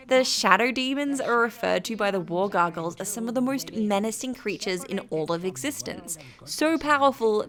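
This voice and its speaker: A woman with a British accent reading a scripted game review in a measured, articulate, critical way. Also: medium pitch, vocal fry, a bit bright. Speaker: video essay narrator.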